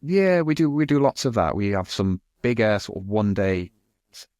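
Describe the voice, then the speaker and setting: Man in his thirties, very low voice, dry close mic, chatty podcast guest, easygoing fillers. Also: animated, moderate pace, a little mellow, no room sound. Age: 30s. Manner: fluent and chatty, leans on "you know" and "kind of". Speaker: agency guest. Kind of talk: casual podcast conversation.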